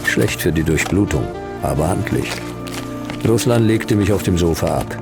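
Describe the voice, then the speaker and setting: Very deep, gravelly deadpan detective. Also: male voice, normal speed, over music. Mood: laconic, deadpan. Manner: laconic, dry, deadpan. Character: hardboiled male private detective narrating his own sci-fi case. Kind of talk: radio drama narration and dialogue.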